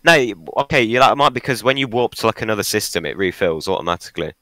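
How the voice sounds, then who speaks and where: A man's voice: fairly low pitch, expressive, fast talker, bright, forceful tone, dry recording. A young man with a Midlands accent co-hosting a gaming podcast, mostly giving short, clipped replies. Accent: English Midlands. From English Midlands. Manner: terse, brief replies. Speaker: podcast co-host. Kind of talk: casual podcast chat.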